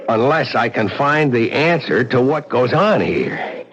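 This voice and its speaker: An actor playing a worried, broke innkeeper in an old radio drama, earnest and determined. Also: male voice, medium pitch, sing-song, a little slow, fairly bright tone, slightly rough, heavily acted. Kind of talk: 1970s radio drama acting. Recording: dull, faintly hissy old radio broadcast.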